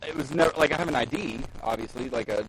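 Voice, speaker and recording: A man's voice: mid-range voice, quick pace, a bit bright, slight background noise, rough recording. A man co-hosting a podcast, chatting casually with friends and joking around. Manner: joking, swears freely. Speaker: podcast co-host. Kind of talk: casual chat.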